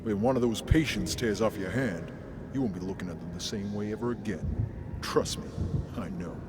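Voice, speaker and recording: Man as low-voiced, seasoned asylum guard. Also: normal speed, over loud music, natural voice. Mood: wry, ominous. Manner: knowing, tough, wry. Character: seasoned, world-weary male asylum guard. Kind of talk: radio drama acting.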